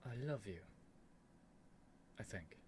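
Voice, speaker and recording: A man's voice: fairly low pitch, expressive, takes it slowly, darkish tone, slight background noise. A man playing a stubborn, flustered young prince with haughty, theatrical phrasing in an ASMR roleplay. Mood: shy, flustered.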